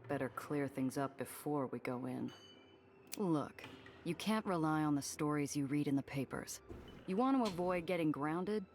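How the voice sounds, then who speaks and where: A woman's voice: deep voice, a little mellow, a little hiss or hum, lightly acted. An actress playing a tough, world-weary smuggler woman in a 1930s noir game, wry and clipped. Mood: stern, wry.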